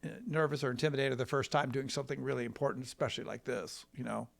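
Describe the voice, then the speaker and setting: An American man from Wisconsin, a trial lawyer telling his story in a casual, rambling, folksy and halting way. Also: mid-range voice, steady tone, creaky. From Wisconsin, United States.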